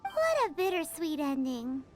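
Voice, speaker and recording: A very high, squeaky little companion girl from an anime game. She is excitable and childlike, with lively swoops in her pitch, over prominent music. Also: unhurried. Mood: cheerful. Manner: squeaky, childlike, speaks of herself in third person.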